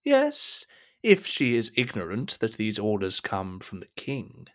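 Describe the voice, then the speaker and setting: A man's voice: lowish voice, huge pitch swings, unhurried. A man playing a deferential, scheming cardinal in a period audio drama, speaking with courtly, insinuating politeness. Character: deferential, scheming cardinal serving his king.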